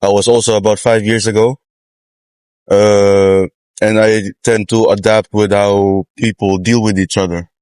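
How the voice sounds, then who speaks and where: A man's voice: fairly low pitch, little pitch movement, measured pace, darkish tone, smooth, no echo, rough recording. A young man from the Philippines answering a street interview, hesitant with many fillers. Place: outdoors on a street.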